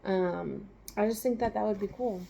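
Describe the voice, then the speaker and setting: A woman's voice: medium pitch, moderate pace, vocal fry, mellow, muffled recording, slight background noise. A woman co-hosting a casual chat, thinking aloud with fillers and laughing easily. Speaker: co-host.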